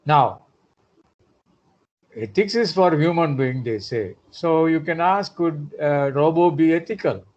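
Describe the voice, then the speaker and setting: A man lectures at a very unhurried pace, filling pauses with "uh" and checking in with "isn't it?". His voice sits a little high for a man. The audio is muffled and lo-fi, like a recorded online class. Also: animated, darkish tone. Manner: hesitant with frequent "uh", rhetorical tag questions.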